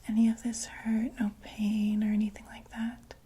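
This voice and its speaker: A woman playing a gentle vampire doctor in a whispered ASMR medical roleplay, reassuring and a little hesitant. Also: mid-range voice, completely flat delivery, moderate pace, husky. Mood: calm, reassuring. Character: gentle female vampire doctor examining a patient.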